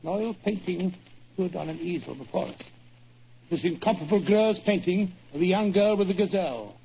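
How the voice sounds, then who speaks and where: An actor playing a genial British gentleman companion, telling the story earnestly in 1940s radio drama style. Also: high voice, normal speed, creaky. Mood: measured storytelling. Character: Doctor Watson, genial, plain-spoken middle-aged gentleman companion. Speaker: narrator and sidekick. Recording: dull, noisy 1940s radio recording.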